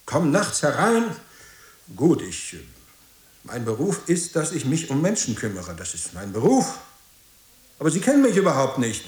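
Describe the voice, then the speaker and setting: An actor playing a weary, guarded pastor in a radio drama, curt and defensive, with hesitant moments. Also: male voice, a little high, huge pitch swings, moderate pace, pauses often, a little mellow, reverberant. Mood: indignant. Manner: curt, brusque, questioning, hesitant at times.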